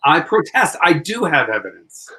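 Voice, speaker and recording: A man's voice: a little high, sing-song, moderate pace, pushed and bright, low-quality recording. A man playing an earnest, persistent defense lawyer arguing in court in a 1940s-style radio play.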